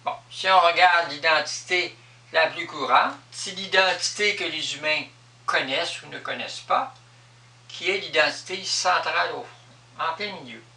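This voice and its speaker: An echoey recording of a man speaking a little away from the microphone. His voice is very bright and sharp, with a Quebec French accent. He explains things off the cuff, emphatically and with a lot of repetition. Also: on the high side, moderate pace, gravelly. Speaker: vlogger explaining personal spiritual beliefs. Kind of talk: improvised explanatory monologue. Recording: echoey room, distant microphone.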